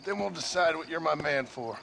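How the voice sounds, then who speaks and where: Wry, higher-voiced cowboy. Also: male voice, expressive, a little fast, vocal fry, a bit bright, slight background noise, heavily acted. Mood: dry, sardonic. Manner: dry, wry, plain-spoken. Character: gruff, sardonic but courteous cowboy rancher hand. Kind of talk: video game western acting.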